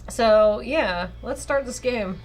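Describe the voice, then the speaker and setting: A woman's voice: on the high side, slow pace. A woman co-hosting a game stream, chatting casually and reading on-screen text aloud. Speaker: streamer and co-host.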